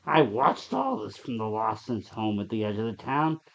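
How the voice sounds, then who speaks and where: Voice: mid-range voice, lively intonation, normal speed, vocal fry, lo-fi sound. A narrator reading a scripted horror short story aloud.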